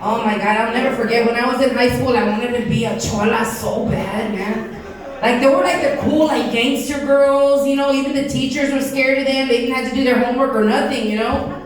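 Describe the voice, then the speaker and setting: A woman's voice: a little high, brisk. A woman from Texas with a South Texas Chicana accent, doing a brash, giggly stand-up set full of swearing. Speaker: stand-up comedian. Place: comedy club stage. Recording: echoey club from far off, loud crowd.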